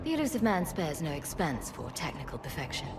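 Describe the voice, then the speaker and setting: An actress playing a principled, weary female scientist in a video game cutscene, speaking in a measured, earnest way. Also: deep voice, very animated, over music. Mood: reflective.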